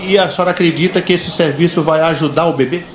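Quick male interviewer, noisy room. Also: a little high, fairly even intonation. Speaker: field interviewer. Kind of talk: informal on-site questioning. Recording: echoey room with clear background noise.

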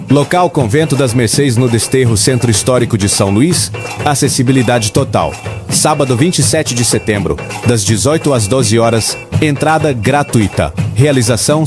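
Brief: male announcer, mid-pitched, even listing read over a clear music bed. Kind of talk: recorded event announcement.

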